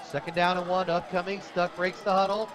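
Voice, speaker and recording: High-pitched American man calling football over crowd. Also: brisk. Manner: energetic, clipped present-tense calls. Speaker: radio play-by-play announcer for high school football. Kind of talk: live American football play-by-play commentary. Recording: loud crowd behind outdoor broadcast. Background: stadium crowd.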